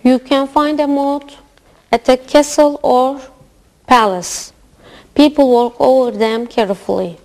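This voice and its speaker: A woman plays her part as a student in a language lesson, with scripted answers. Her voice is high in pitch. She speaks very slowly. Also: fairly even intonation, a little mellow.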